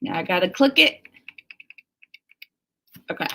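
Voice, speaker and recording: A woman's voice: lowish voice, sing-song, a little slow, a bit bright, a little hiss or hum. A woman hosting a casual livestream, chatting informally with viewers, reading out their comments and exclaiming as she acts out remarks. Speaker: livestream host.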